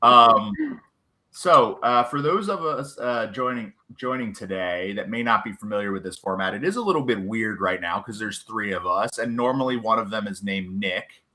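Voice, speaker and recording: A man's voice: fairly low pitch, animated, normal speed, sharp tone. A man hosting a casual livestream chat, joking and self-deprecating. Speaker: livestream host.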